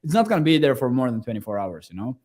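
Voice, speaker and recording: A man's voice: mid-range voice, very animated, racing, darkish tone. Finnish man talking casually about business. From Finland. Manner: loose, casual, swears, restarts sentences. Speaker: guest, agency owner. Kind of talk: casual testimonial interview.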